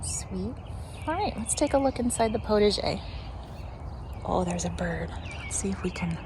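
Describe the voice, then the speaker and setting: A woman's voice: mid-range voice, lively intonation, normal speed. A woman giving a casual walking tour of a garden, chatting and thinking aloud as she goes. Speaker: garden vlog host. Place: outdoor backyard vegetable garden. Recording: noisy outdoor ambience with birdsong. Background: birds and outdoor ambience.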